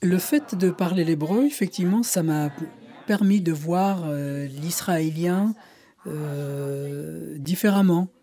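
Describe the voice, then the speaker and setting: A woman's voice: on the low side, slow pace, warm tone. A woman Arabic teacher talking reflectively about her experience and saying single words. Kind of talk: reflective personal account and single-word recitation.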